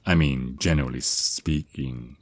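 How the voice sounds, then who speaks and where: A man's voice: very deep voice, a little slow, dry recording. A man voicing an affectionate, protective vampire naga boyfriend in an intimate ASMR roleplay, mentoring his partner with slightly formal wording and small stammers. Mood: caring, teasing. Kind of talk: ASMR roleplay, intimate one-sided scripted dialogue.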